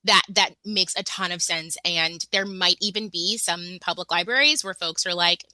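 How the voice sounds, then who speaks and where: Woman webinar host, bright and dry, casual video-call chatter with lots of "like"s. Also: fairly low pitch, normal speed, no room sound. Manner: chatty, lots of fillers and restarts. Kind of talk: casual online webinar hosting.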